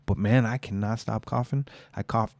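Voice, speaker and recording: A man's voice: lowish voice, steady tone, moderate pace, vocal fry, a little mellow, dry recording. A man in his forties co-hosting a casual podcast, rambling through a story and quoting what others said, with a lingering cough. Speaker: podcast co-host. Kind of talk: casual chat.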